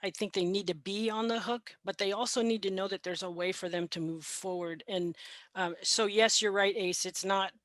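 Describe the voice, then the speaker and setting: A woman's voice: mid-range voice, fairly even intonation, moderate pace, dry recording. Candid woman trainer, dry close sound. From Mexican heritage, raised in the US. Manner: candid, conversational, laughs lightly. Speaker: health educator and trainer. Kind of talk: panel discussion.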